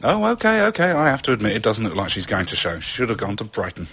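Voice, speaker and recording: Man acting a lively, excitable young male student. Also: fairly low pitch, quick pace, soft background music, exaggerated voice. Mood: cheeky, casual. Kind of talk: audiobook character voice.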